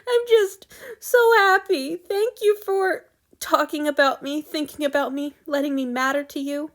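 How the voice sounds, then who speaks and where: A woman's voice: sits high, unhurried, voice pushed up. A woman voicing an eager, emotional young male servant in a storybook who gushes breathlessly. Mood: tearful. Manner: breathless, gushing, tearful.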